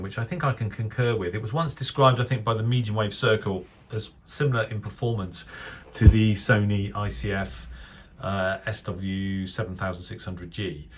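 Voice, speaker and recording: A British man with a fairly low voice talks through a review as an enthusiast would. His commentary is casual and unscripted. He gives his opinions in a measured way, pausing to find the right phrase. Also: a bit bright. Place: voice-over over still images.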